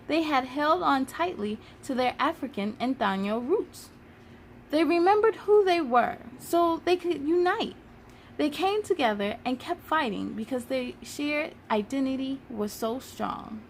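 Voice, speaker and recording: Woman reading as patient storybook history teacher, female, high-pitched, instructive and encouraging, faint noise behind. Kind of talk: children's storybook dialogue.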